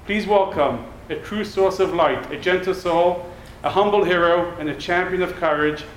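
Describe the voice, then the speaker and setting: A man with a high voice introduces the evening's guest in a ceremonious, formal way. His voice rings through an echoey hall with a faint noise underneath. It sounds like a live event. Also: moderate pace. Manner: warm, ceremonious build-up. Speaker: event presenter.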